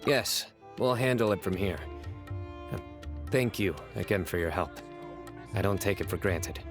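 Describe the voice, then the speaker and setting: Casting: earnest, reserved game lawman, low register, clipped formal delivery, music behind. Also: measured pace. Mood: grateful, solemn. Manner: terse, serious, formal. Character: stern young male desert lawman, reserved and earnest. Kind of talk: video game voice acting. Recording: music under the voice.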